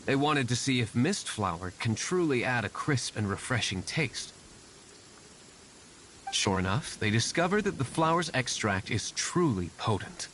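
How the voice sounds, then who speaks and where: An actor playing a composed, aristocratic winery owner in a game cutscene, speaking in a formal, measured way. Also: male voice, mid-range voice, a little hiss or hum, low-quality recording. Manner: formal, measured, calmly authoritative.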